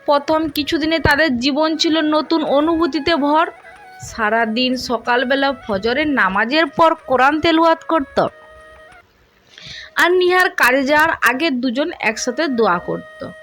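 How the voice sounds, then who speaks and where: Female storyteller, very high voice, scripted romantic narration with soft music behind. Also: unhurried.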